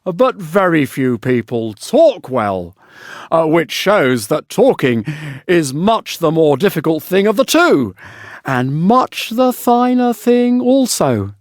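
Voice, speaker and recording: Guy doing smug selfish miller from a storybook, preachy and self-important, really animated pitch swings. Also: takes it slowly, dry recording, studio quality. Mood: pompous lecturing. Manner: sententious, moralizing, self-important. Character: pompous, self-satisfied miller, a selfish middle-aged man.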